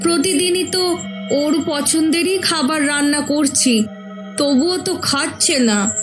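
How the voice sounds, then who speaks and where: A woman plays a caring village mother in a horror cartoon. Her voice is high and she speaks slowly, fussing and worrying. Soft music runs behind her. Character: caring, worried village mother of grown children.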